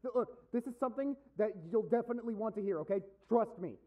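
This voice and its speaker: A young man playing a gossipy, affectionate popular boyfriend in an audio roleplay, with a theatrical, teasing manner and drawn-out emphasis. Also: high voice, no room sound, narrow, tinny audio. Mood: urgent pleading. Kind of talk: dramatic boyfriend audio roleplay, addressed to listener.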